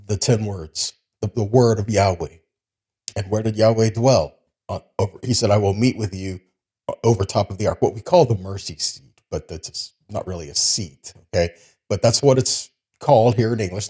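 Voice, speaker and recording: A man delivers a scripture lesson in a mellow, relaxed voice of medium pitch. He reads verses and explains them like a teacher, often adding short tag questions to make sure the point lands. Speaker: religious teacher giving a sermon. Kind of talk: Bible teaching with scripture reading.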